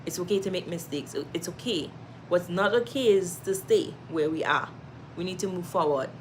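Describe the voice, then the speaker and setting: A woman's voice: on the low side, normal speed, slight background noise, poor audio. A Trinidadian woman hosting a casual livestream at home, reading viewer comments, laughing often and giving encouragement. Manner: warm-hearted, encouraging, laughs often, reads comments aloud. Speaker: live stream host.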